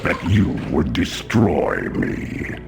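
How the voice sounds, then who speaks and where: An ancient vampire lord, bitter and devout, who growls his words out in a slow, scornful hiss. His voice sits extremely deep and is heavily gravelly, swooping widely between low and high. Game music plays behind him. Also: sing-song, exaggerated voice. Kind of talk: video game voice acting.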